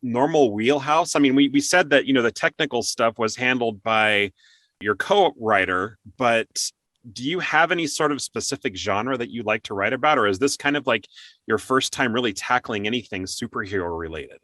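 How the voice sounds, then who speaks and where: A man hosting a conversational interview, chatty and quick to laugh. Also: mid-range voice, lively intonation, sharp tone, no echo. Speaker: interview host.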